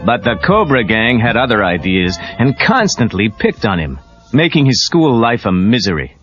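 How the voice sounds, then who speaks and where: Lively male storyteller on dull cassette. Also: medium pitch, moderate pace. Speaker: narrator. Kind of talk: scripted children's read-along storytelling. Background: prominent music.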